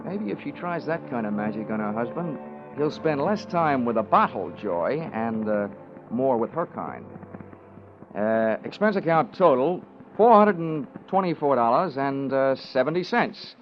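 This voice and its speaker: An American actor playing a tough, wry insurance investigator who narrates his case in clipped, deadpan 1940s radio detective style. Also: medium pitch, measured pace, lightly acted. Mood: wry, dry. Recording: dull vintage radio recording with music.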